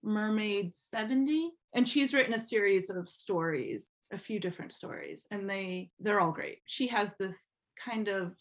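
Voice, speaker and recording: A woman chatting casually as an interview guest, thinking aloud in an informal, halting way. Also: mid-range voice. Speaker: podcast guest, fan fiction writer.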